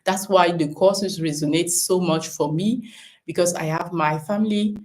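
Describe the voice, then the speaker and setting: A woman from Cameroon speaks as a business coach in a relaxed live stream recorded at home. Her voice sits a little low. She encourages her viewers and thinks aloud, dropping in "um" and "right?" as she goes. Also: moderate pace.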